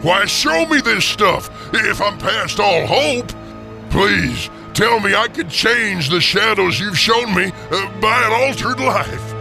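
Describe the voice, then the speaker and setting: An old miser in a radio play, overwrought and exclamatory. The voice sits high and swoops widely, with a creaky edge. Every phrase is pushed with full emotional emphasis. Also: male voice, exaggerated voice. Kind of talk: radio theater acting.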